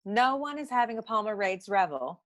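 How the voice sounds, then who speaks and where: An actress playing a brisk, know-it-all detective agency secretary in a noir comedy audio play, curt and dryly superior. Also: female voice, medium pitch, big pitch swings, lightly acted. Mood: matter-of-fact, superior.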